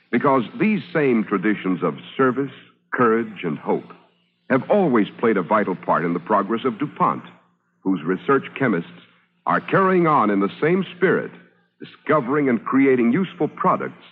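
A man's voice: on the low side, slightly rough. A man announcing in a formal, stately mid-century radio style. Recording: muffled old radio broadcast.

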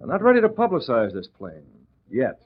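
An actor in an old radio drama playing a calm, authoritative newspaper publisher who speaks in a measured, businesslike way. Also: male voice, medium pitch, slightly rough. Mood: firm. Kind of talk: 1940s radio acting. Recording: tinny old radio recording.

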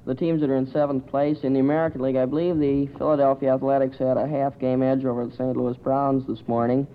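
A man's voice: medium pitch, flat delivery, fast, clean tone. A male quiz panelist answering earnestly and precisely, hesitating as he thinks aloud. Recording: tinny, narrow old radio recording.

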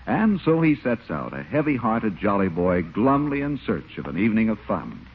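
A man's voice: lowish voice, sing-song, moderate pace, very noisy. A man giving a scripted 1940s-style radio announcer read. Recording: thin, tinny old radio recording.

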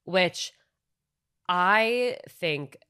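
A woman's voice: lowish voice, expressive, extremely slow, pushed and bright, clear, resonant tone. A young woman co-hosting a podcast, talking in a reflective, reassuring way and building long points in casual chat. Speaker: podcast co-host.

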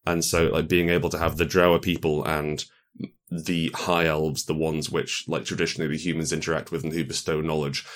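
A man with a low voice speaks casually as an interview guest. He rambles through his explanations as a game designer, with a wry, self-deprecating sense of humour. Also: flat delivery, fairly quick.

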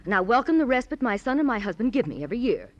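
An actress playing a genteel, self-absorbed middle-aged society woman in a radio drama, with mannered, deliberate phrasing. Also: on the high side, very animated, highs cut off. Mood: insistent, self-justifying. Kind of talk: old-time radio drama acting.

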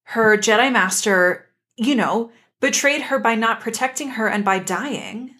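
A woman's voice: a little high, a little slow, bright, forceful tone. Reflective woman co-hosting a podcast. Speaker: podcast co-host. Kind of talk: casual discussion of an animated series.

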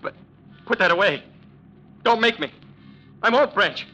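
A man's voice: high voice, animated, measured pace, sharp tone, muffled recording, heavily acted. An actor playing a frightened, desperate man, agitated and stammering, in 1940s radio melodrama style. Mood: pleading, terrified. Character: frightened, desperate father mixed up with gangsters. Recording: noisy vintage radio recording.

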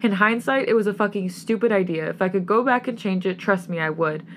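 A woman's voice: medium pitch, a little fast, close to an everyday voice. Woman doing exasperated young rich boyfriend in an audio story, hurt and pleading, noisy background. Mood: earnest, pleading. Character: young man, wounded, indignant accused billionaire playboy boyfriend.

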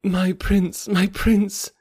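A man's voice: a little high, moderate pace, darkish tone, no room sound, clean studio sound, pitched above the performer's own voice, close to an everyday voice. A man voicing a devoted young woman who speaks fervently in formal, old-fashioned phrasing. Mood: ardent.

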